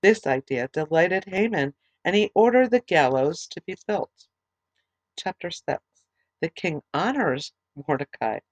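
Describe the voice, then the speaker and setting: A middle-aged woman teaching a Bible study, reading scripture aloud in a plain, steady way, her voice tired and fading late at night. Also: fairly low pitch, no room sound, rough recording. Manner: plain, steady reading with small halting restarts.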